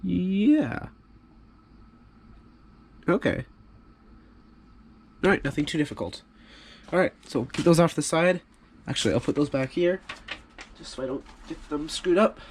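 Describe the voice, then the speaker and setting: A man's voice: on the high side, measured pace, pauses often, a little hiss or hum. Stuffy-voiced man, casual repair talk. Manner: relaxed, rambling, self-deprecating. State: stuffy from a cold. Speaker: hobby channel host.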